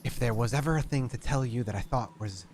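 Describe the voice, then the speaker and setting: A man voicing a suave, condescending male vampire socialite in his forties, who speaks smoothly and without hurry. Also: medium pitch, a little fast, background noise, natural voice. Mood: courtly.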